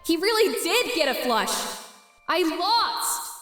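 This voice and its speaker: Competitive, calculating teen girl for a webcomic dub, played by a woman: high, slow and deliberate, music underneath. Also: sing-song, a bit bright, exaggerated voice. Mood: calculating, tense. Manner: deliberate, musing to self. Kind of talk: webcomic fan dub acting with inner monologue. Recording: roomy voice with music behind.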